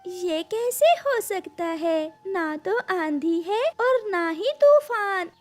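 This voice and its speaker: A woman plays a homeless widowed mother bird from a cartoon moral story. Her voice is very high and slow, full of plaintive lament. She pleads like someone in real distress. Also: darkish tone, heavily acted.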